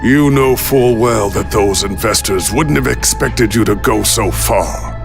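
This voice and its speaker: An actor playing a grave, composed man in a video game, speaking in a measured, formal way. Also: lowish voice, creaky. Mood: somber. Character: grave, composed, knowing male ally. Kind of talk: English-dub video game drama acting. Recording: dramatic game music behind.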